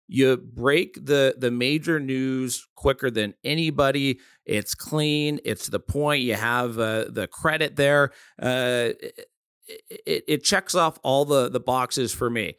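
A man's voice: medium pitch, a bit bright, clear, resonant tone, no room sound, studio quality. A man hosting a sports interview, asking loose, rambling questions full of restarts. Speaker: podcast host.

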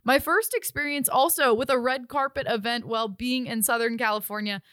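A woman's voice: a little high, fairly even intonation, brisk, fairly bright tone, smooth, no room sound, clean studio sound. A woman hosting a hockey show with an upbeat, enthusiastic delivery, giving recaps and asking interview questions. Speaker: sports show host and interviewer.

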